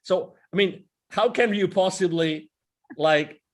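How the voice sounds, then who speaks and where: A man's voice: high-pitched, takes it slowly, heavy background noise. A man in his forties hosting an interview over a video call, eager and full of restarts. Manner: enthusiastic, talks with his hands, many restarts. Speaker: host. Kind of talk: casual interview. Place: indoors, virtual backdrop. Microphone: wired earphone mic on a video call.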